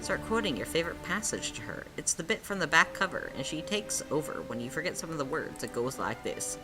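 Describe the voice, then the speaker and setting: Female host narrator, low register, highly expressive, playful and dramatic, music underneath. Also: a little fast, poor audio. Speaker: host reading a gamebook playthrough aloud. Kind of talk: expressive read-aloud narration with casual asides.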